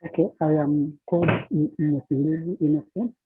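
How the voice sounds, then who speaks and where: A man from Uganda, a network engineer, attending a webinar and speaking haltingly with repeated words. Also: on the high side, noisy background. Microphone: video call. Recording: tinny, dry video-call audio.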